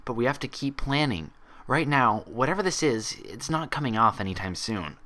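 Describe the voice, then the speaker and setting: A man's voice: mid-range voice, lively intonation, normal speed, bright, forceful tone, pitched above the performer's own voice. A man voicing an anguished young female pony in a fan story, scolding in an accusatory, emotional, emphatic way. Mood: insistent.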